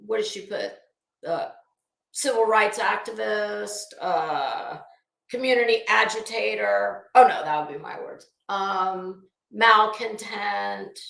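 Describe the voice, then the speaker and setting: A woman's voice: mid-range voice, slow pace, creaky, fairly bright tone, reverberant, poor audio. A woman doing casual livestream commentary as host, blunt, salty and emphatic, with a disdainful edge.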